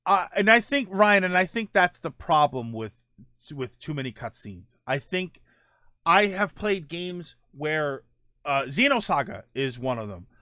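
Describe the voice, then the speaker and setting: A man with a slightly higher-set voice hosts a casual gaming stream. He gives his opinions in reply to viewers, stopping and rephrasing as he works through a point. The sound is dry, with little room in it. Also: big pitch swings, bright, forceful tone, no echo. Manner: halting, circles back and rephrases points. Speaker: host of a gaming livestream. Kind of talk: casual opinion talk answering viewer comments.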